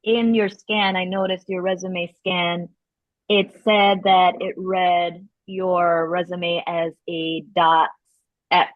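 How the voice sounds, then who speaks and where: A woman's voice: lowish voice, no room sound, narrow, tinny audio. A woman casually asking questions as a participant in an online meeting, heard through thin call audio.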